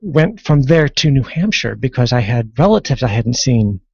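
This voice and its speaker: A man from California, a guest author, telling his story hesitantly, with lots of pauses and filler words. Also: mid-range voice, big pitch swings, creaky, a little mellow, slightly rough, no echo. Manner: hesitant, many fillers, halting. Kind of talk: radio interview. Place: radio studio. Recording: dry, dull-sounding radio studio audio.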